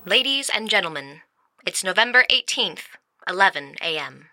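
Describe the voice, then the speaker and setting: An actress playing a grave news anchor in an audio drama, speaking in a solemn, formal, measured broadcast style. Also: female voice, medium pitch, lively intonation, sharp tone, no room sound, poor audio. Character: grave news anchor announcing the end of civilization.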